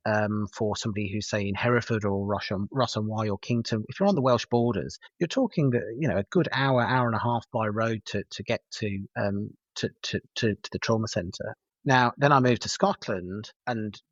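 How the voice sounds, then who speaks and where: A middle-aged paramedic lecturer with a West Midlands accent, answering interview questions hesitantly with many fillers and restarts. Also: male voice, on the low side, no room sound, highs cut off. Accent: West Midlands English. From West Midlands, England.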